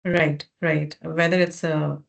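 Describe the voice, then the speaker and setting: Low-voiced woman hosting an interview. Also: flat delivery, creaky. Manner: measured, reflective summarizing with fillers. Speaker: webinar host. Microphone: video call.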